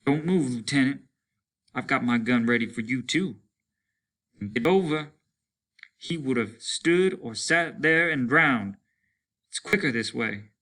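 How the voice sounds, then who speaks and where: A man playing a hardened soldier at the end of his rope in a storybook reading. The voice is raised and strained, with a bright, hard edge. He speaks bluntly, with bitter fatalism. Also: medium pitch, expressive, a little slow, pauses often, pitched above the performer's own voice. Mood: cold, menacing. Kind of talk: dramatic storybook character reading.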